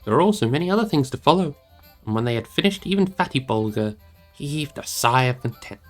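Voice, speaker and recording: A man reading a fantasy novel aloud as expressive storybook narration. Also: mid-range voice, fairly quick. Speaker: storybook reader, streamer. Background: music.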